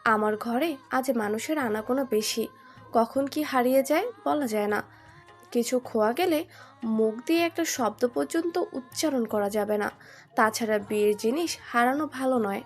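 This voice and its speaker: An authoritative senior woman of the house acted by a woman, careful and firm in an audio drama. Her voice is a bit high, with music under it. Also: normal speed, close to an everyday voice. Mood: instructing. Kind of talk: audio story acting. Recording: music behind the voice.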